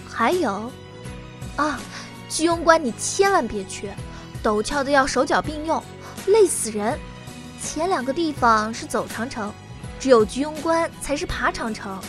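A woman acting a lively young university student in a Chinese radio drama, with a youthful, chatty way of talking. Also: high voice, a little slow. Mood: cheerful, chatty. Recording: music behind the voice.

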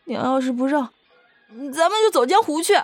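Woman acting high-voiced naive lovestruck girl, costume drama. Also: lively intonation, normal speed, no room sound, faint music behind. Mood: pleading. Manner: artless, pleading, refers to herself by name. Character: childlike, naive, devoted lovestruck martial-sect girl.

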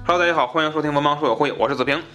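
Guy hosting a book podcast, talks quick, casual, explains stuff and repeats himself a bit. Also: on the high side, loud music behind the voice. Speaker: podcast host.

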